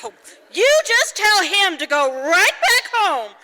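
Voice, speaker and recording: A woman's voice: very high-pitched, sing-song, slow, sharp tone, a little hiss or hum, full character voice. A woman playing a meddling, disapproving older mother in a 1940s radio drama, bossy and often calling out. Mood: suspicious, scolding. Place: large church, before an audience. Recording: live church performance, hall reverb, music behind.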